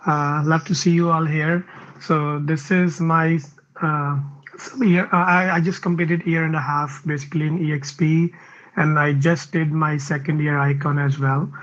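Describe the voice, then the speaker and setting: Higher-voiced man from Canada, flat delivery. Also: moderate pace, dull audio. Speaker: panelist, realtor. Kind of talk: conversational panel talk.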